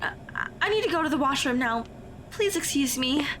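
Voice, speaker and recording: High-voiced, awkward teenage girl played by a woman. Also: expressive, a little fast, fairly bright tone, a little hiss or hum, low-quality recording. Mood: flustered. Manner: hesitant, stammering when flustered. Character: awkward, nervous high school girl with a crush. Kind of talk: audio drama acting.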